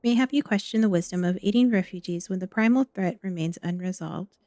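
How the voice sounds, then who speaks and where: A woman voicing a gracious, dutiful noblewoman in a fantasy game, reading formal archaic dialogue in a gentle, measured manner. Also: mid-range voice, dry recording, clean studio sound, close to an everyday voice. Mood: solemn, gracious.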